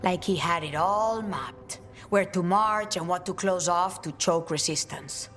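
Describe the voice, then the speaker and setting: Testy assassin lady from a fantasy game, lowish voice, curt and menacing, faint music under it. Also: unhurried, fairly bright tone. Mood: terse, resolute. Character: testy, fierce female assassin of a crow guild. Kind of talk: video game voice acting.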